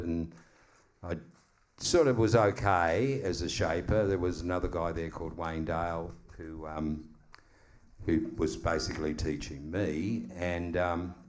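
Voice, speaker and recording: A man in his seventies from South Australia with an Australian accent, a guest shaper telling stories in a slow, modest way with many pauses. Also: deep voice. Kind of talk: casual storytelling on a live podcast.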